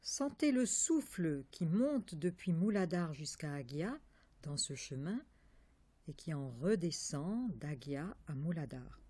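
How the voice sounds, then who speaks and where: A woman leading a guided yoga meditation, giving calm, measured instructions with pauses between phrases. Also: deep voice, husky, dry recording. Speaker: yoga nidra meditation teacher.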